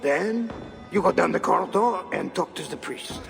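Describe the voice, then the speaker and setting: A medieval church man in a video game drama. The voice sits high for a man and crackles with fry, over faint music. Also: sing-song, moderate pace, fairly bright tone, exaggerated voice. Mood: nervous. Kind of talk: video game cutscene acting.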